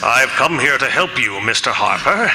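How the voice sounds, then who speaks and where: A man's voice: medium pitch, sing-song, normal speed, pushed and bright. A man playing a resolute mystery hero in an old-time radio melodrama, speaking with urgent, probing authority. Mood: commanding, probing. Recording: long hall reverb, faint noise.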